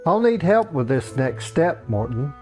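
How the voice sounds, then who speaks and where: Weary old fantasy priest, played by a male narrator: pompous, grumbling, very animated delivery for a comic storybook. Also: on the high side, unhurried, faint music behind. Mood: grumpy, resigned. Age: older.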